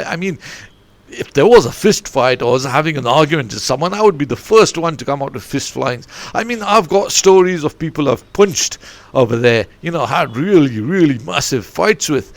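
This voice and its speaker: A football coach in his forties, who grew up in Eswatini, wanders from point to point, restarting his sentences as he goes. His voice sits a little high for a man. It is recorded close and dry, with no room sound. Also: creaky, no echo. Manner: digressive, with many restarts. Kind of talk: rambling podcast monologue.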